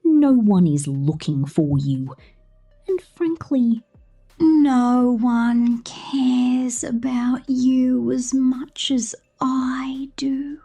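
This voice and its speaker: A woman playing a possessive, condescending mechanic captor in an ASMR roleplay, speaking softly and half-whispered with playful menace. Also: high voice, breathy edge, soft background music, exaggerated voice. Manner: soft, half-whispered, slow, teasing.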